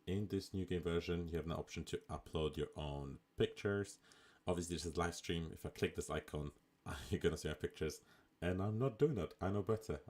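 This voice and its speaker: A man with a raspy voice, chatting casually as a live streamer while he plays a management game. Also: rumbling low. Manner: relaxed, chatty, with fillers. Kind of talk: casual live gameplay commentary.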